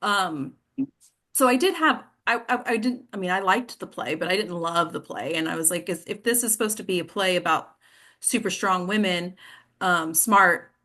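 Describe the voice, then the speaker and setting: Bright-voiced woman leading a casual discussion. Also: fairly low pitch, moderate pace. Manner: hesitant, self-correcting, informal. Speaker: host and director of a table-read discussion. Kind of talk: casual discussion, thinking aloud.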